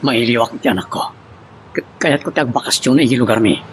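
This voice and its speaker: A man's voice: mid-range voice, fast, soft background music, close to an everyday voice. An actor playing a hurt, remorseful man pleading with his lover in an Ilocano melodrama. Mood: wistful. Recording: faint background noise.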